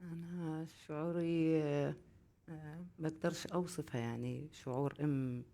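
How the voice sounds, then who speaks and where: A woman with a deep, low-pitched voice tells a panel about the loss of her son. She speaks haltingly, full of grief, yet her words tumble out rapidly between the pauses. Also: fairly even intonation, mellow. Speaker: panel guest, bereaved mother. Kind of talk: speaking through an interpreter.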